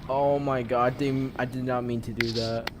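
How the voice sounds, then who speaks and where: A man's voice: medium pitch, flat delivery, moderate pace, very noisy. A man giving casual, offhand gameplay commentary as a streamer. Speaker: game streamer. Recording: loud game music behind the voice.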